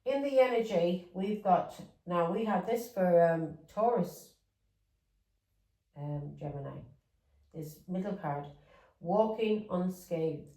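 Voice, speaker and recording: Mellow-voiced English woman reading tarot cards. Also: on the low side, takes it slowly, pauses often, reverberant, recorded from a distance, poor audio. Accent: English with Irish touches. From England. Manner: rambling, repeats words, chatty asides. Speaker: tarot reader. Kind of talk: casual tarot reading monologue.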